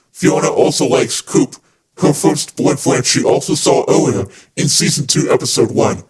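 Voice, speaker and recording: A man's voice: medium pitch, poor audio. A man hosting a fan podcast, reading scripted narration. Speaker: podcast host.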